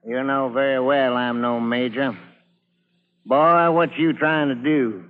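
An actor in a vintage radio drama playing a gruff, taunting Confederate soldier with a commanding, folksy manner. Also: male voice, medium pitch, fairly even intonation, takes it slowly, natural voice. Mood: mocking. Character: gruff, bullying Confederate soldier on sentry duty. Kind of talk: 1950s radio drama acting. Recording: muffled old radio broadcast.

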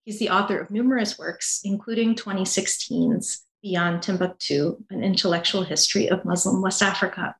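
A woman hosting an academic book podcast, reading her introduction in a polite, measured way. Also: mid-range voice, fairly even intonation, a bit bright. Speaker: podcast host. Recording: roomy echo.

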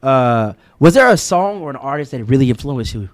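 A man hosting a casual podcast interview in a studio, relaxed and slangy, reading a sponsor plug now and then. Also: mid-range voice, a little fast. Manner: laid-back, loose slang, reads sponsor spots. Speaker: podcast host. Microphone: studio mic.

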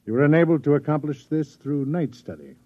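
An actor playing a stern, suspicious government interrogator, formal and insinuating, in classic radio drama style. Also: male voice, a little high, animated, a little fast. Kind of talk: old-time radio drama acting. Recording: thin phone-like old radio recording.

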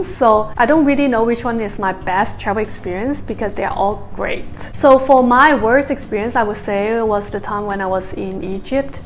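A young woman travel vlogger reading out viewer questions and answering them in a chatty, plain-spoken way. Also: on the high side. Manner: chatty, plain-spoken, promotes her own videos.

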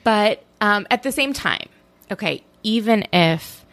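A woman hosting an interview, lively, thinking aloud and quick to chime in. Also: mid-range voice, dry recording. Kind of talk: casual interview chat.

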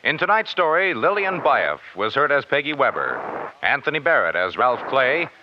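Lively American man announcing on vintage radio. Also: medium pitch, normal speed, background noise. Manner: polished, formal. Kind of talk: 1950s radio announcing, scripted. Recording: muffled old radio broadcast, loud music.

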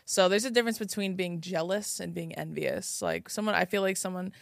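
A woman's voice: on the low side, sing-song, a little fast, vocal fry, no room sound, clean studio sound. Sassy young woman podcast host. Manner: sassy, slangy, playful. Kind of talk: casual podcast chat.

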